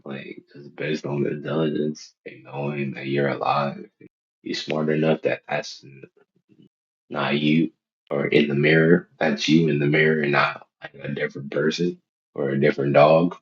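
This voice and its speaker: A man's voice: rumbling low, flat delivery, normal speed, muffled recording. A twenty-year-old man giving casual, unscripted reaction commentary in a slangy, profane, joking way, often repeating his phrases. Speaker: reaction video host.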